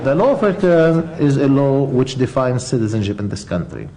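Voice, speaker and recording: A man's voice: mid-range voice, animated, normal speed, a crowd in the background, low-quality recording. Man explaining with hesitant fillers. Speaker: interviewee.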